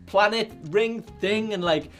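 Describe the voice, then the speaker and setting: An excitable man riffs with friends in a loose, rambling way, leaning on fillers as he goes. His voice sits a little higher than most men's. Faint music sits in the background. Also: slow pace. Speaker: podcast panelist. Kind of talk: casual group chat.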